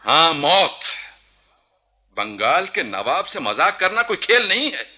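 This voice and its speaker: A slow, theatrical, imperious young ruler. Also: male voice, a little high, very animated, pushed and bright, husky, heavily acted. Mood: stern, threatening. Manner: theatrical, measured, regal. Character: young imperious Nawab of Bengal, suspicious and commanding. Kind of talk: Hindi historical radio play acting.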